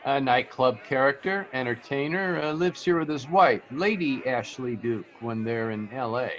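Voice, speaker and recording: A man's voice: medium pitch, animated, normal speed, lo-fi sound, close to an everyday voice. A man playing a sly young American bellhop, hesitant and slangy, in an old-time radio detective drama. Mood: sly, chummy. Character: shifty young male bellhop hustling for tips.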